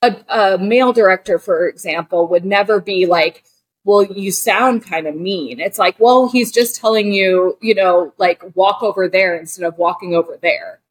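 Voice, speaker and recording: A woman's voice: mid-range voice, normal speed, husky, rough recording. A woman of forty, a guest on a podcast, talking candidly as an actor and director, searching for words with many fillers and restarts. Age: 40s.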